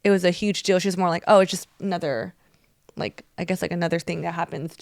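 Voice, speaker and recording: A young American woman talks quickly and chattily, filling her sentences with "like" and slipping into little voices when she quotes someone. Her voice is dry and close to the mic, with no room around it. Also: lowish voice, steady tone, a little mellow, no room sound. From United States. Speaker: podcast co-host. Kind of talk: casual chat.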